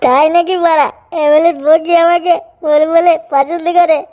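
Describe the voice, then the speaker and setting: Man as a squeaky boastful cartoon drunkard. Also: little pitch movement, normal speed, darkish tone. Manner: coarse, bragging, swearing. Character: boastful, lecherous, drunken male cartoon friend. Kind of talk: amateur comedy cartoon dub.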